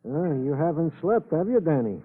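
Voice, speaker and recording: An actor playing a chatty, deferential police sergeant in an old-time radio drama, with florid, roundabout phrasing. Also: male voice, a little high, very animated, normal speed, narrow, tinny audio. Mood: earnest, eager. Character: chatty, deferential Italian-American police sergeant on night duty. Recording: thin, band-limited old radio recording.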